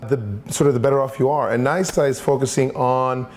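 A man's voice: medium pitch, steady tone, fairly quick, a little mellow. Thoughtful man from Sweden, office interview. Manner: thoughtful, repeats words while forming ideas. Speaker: interview guest. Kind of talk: conversational interview answers. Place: office with large windows.

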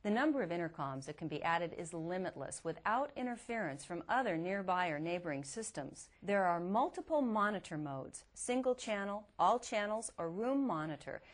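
A woman's voice: fairly low pitch, very animated, moderate pace, few pauses. A woman reporter narrating a scripted promotional product report.

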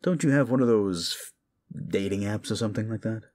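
A man's voice: on the low side, a little fast, darkish tone, natural voice. A man playing a sardonic, hungry demon in an audio roleplay, talking to the listener in a condescending, theatrical, mocking way with muttered asides. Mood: mocking, amused.

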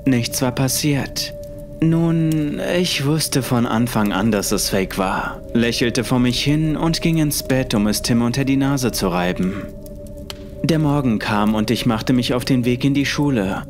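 A man's voice: medium pitch, lively intonation, unhurried. A man narrating a scripted first-person horror story. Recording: music under the voice. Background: music.

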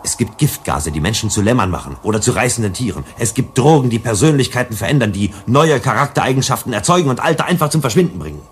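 A man's voice: mid-range voice, sing-song, fast talker, few pauses, a little hiss or hum, close to an everyday voice. An actor playing a principled, cautious male psychologist in a sci-fi radio play, emphatic and lecturing. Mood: sardonic lecturing. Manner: emphatic, didactic.

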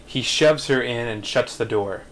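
Male narrator for screenplay stage directions, medium pitch, slight background noise. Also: unhurried, fairly bright tone. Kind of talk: scripted narration at a table read.

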